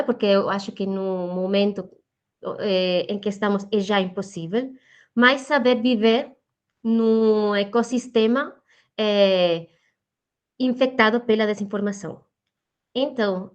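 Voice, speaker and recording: A woman with a Spanish accent, Spanish as her first language, presenting a workshop talk as a guest media educator, pausing often with fillers as she searches for words in Portuguese. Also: mid-range voice, clean tone, dull audio.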